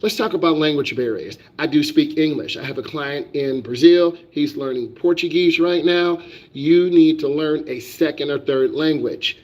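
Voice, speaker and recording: A man's voice: fairly high pitch, moderate pace, a little mellow, lo-fi sound. A man who is a driving instructor, lecturing on a live stream with emphatic, repetitive, preachy delivery. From United States.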